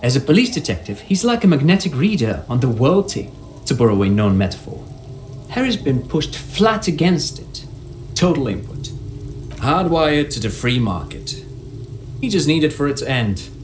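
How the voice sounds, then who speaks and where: An actor playing an earnest, articulate police consultant who muses in an academic way, in video game dialogue with music behind him. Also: male voice, mid-range voice, sing-song, normal speed, a little hiss or hum, lightly acted. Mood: fascinated. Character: earnest, intellectual male police consultant given to theorizing.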